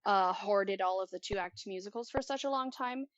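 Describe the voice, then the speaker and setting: Woman cohost, relaxed, lots of like and mm-hmm, dry mic. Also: mid-range voice, normal speed, no echo, highs cut off. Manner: relaxed, lots of "like" and murmured agreement. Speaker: podcast co-host. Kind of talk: casual fan chat.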